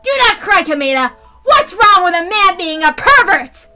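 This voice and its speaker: Lady doing a teasing schemer boy giving over the top speeches, bright high voice, visual novel read. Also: sing-song, normal speed, faint music behind, exaggerated voice. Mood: rousing. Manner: taunting, grandiose, insistent speechmaking.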